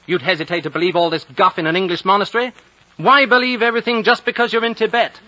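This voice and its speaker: A man's voice: sits high, fairly even intonation, quick pace, sharp tone. A man playing an impatient, sceptical young British man in a 1950s radio drama, exclamatory and insistent, on a dull old broadcast recording. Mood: indignant.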